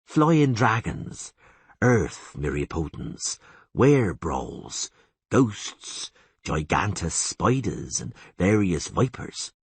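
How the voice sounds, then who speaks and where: Audiobook bit part: humble male peasant done by a male narrator, slow, halting, apologetic, rustic speech, dry sound. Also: low-pitched, big pitch swings, husky, dry recording, exaggerated voice. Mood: solemn. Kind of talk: audiobook character acting.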